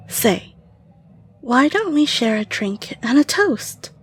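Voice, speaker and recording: A woman's voice: high voice, sing-song, extremely slow, voice pushed up, heavily acted. A woman voicing a flirtatious, possessive female fae in a scripted audio roleplay, coy and teasing. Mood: flirty, coy.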